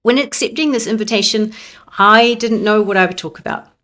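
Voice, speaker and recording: A woman giving a prepared academic talk remotely into a close mic, in a measured, reflective manner. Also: mid-range voice, a bit bright. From New Zealand. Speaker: conference presenter. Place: presenting remotely over the internet. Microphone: close microphone.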